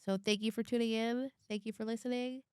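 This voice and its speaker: Female podcast co-host, very smooth clear voice, dry close sound, casual delivery. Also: on the high side, little pitch movement, a little fast, darkish tone, no echo.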